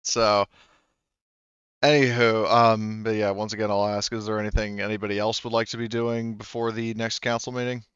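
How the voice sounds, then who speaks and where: A man's voice: fairly low pitch, level tone, normal speed, a bit bright, clean tone, dry recording, dull audio. A man acting as game master of a tabletop game, talking in a hesitant, laid-back way with plenty of fillers. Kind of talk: running a tabletop game over voice chat.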